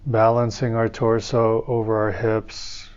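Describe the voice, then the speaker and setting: A man leading a guided meditation as a teacher, speaking in calm, soothing, measured phrases with pauses between them. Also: fairly low pitch, clean tone, lo-fi sound.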